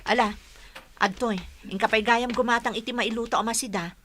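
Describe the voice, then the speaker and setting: An actress with a Filipino accent playing a hardworking, self-sacrificing older sister in an Ilocano radio drama. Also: female voice, on the high side, steady tone, a little fast, a bit bright, a little hiss or hum, natural voice. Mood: matter-of-fact.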